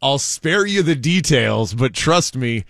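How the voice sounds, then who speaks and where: A man's voice: medium pitch, lively intonation, slow, vocal fry, a bit bright, no room sound, studio quality. A man co-hosting a talk show, retelling offbeat news in a casual, jokey way. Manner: chatty, jokey storyteller. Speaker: talk show co-host telling news stories.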